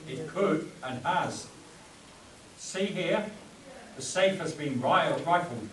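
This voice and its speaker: A man's voice: a little high, expressive, takes it slowly, far from the mic. A man playing an earnest, commanding pulp adventure hero in a spoof radio play, stumbling over his script. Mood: earnest, grave. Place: convention stage before an audience. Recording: echoey convention room from afar, audience noise.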